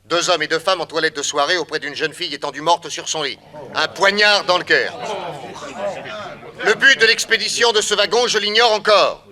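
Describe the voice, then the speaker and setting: An actor playing a bold detective who addresses officials formally and with authority in a French radio drama. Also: male voice, high-pitched, moderate pace, sharp tone. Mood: commanding. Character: bold, commanding male detective.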